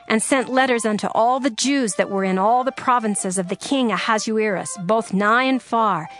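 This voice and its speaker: Female narrator, steady formal scripture reading, soft music bed. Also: medium pitch.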